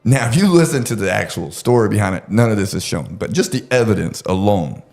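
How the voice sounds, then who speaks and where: A man's voice: fairly low pitch, animated, brisk, gravelly, a little mellow, soft background music. A man telling a true-crime story casually, with crude, profane joking and laughter woven in. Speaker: podcast storyteller.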